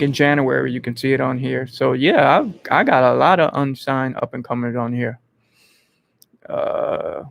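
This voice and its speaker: A man from the Bronx, hosting a casual livestream and thinking aloud in an informal, halting way. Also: medium pitch, vocal fry, no echo. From Bronx, New York. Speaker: host of an online entertainment interview channel. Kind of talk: casual livestream chat answering viewers.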